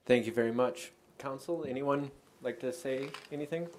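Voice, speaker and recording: An American man speaking as a mayor, running formal council procedure in a brisk, businesslike way. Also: mid-range voice, darkish tone. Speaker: mayor chairing a city council meeting.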